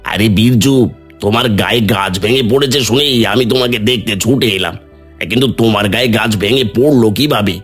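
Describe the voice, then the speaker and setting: Guy doing indignant village man in a cartoon, husky voice, bit of music behind. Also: mid-range voice, a little fast, fairly bright tone. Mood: concerned. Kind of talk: cartoon voice acting.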